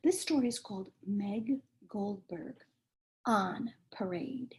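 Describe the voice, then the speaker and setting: A woman reading a children's storybook aloud in a measured, expressive storytelling manner. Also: mid-range voice. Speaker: cantor reading a bedtime story.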